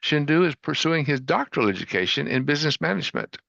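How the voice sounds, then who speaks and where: Upbeat male host, gravelly and bright, on a dry, slightly dull video call line. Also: on the high side, sing-song, fast, no echo. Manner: upbeat, enthusiastic. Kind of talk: online show introduction.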